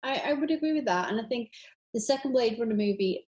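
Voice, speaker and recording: A woman's voice: a little high, expressive, fast, darkish tone. A woman co-hosting a podcast in casual chat, enthusiastic and repeating words for emphasis. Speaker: podcast co-host.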